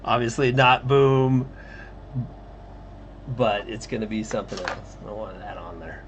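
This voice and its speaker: Slow, relaxed man chatting on stream. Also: lowish voice, lo-fi sound, slight background noise. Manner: relaxed, talks to unheard co-hosts, halting. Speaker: livestream host drawing comics. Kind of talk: casual livestream chat.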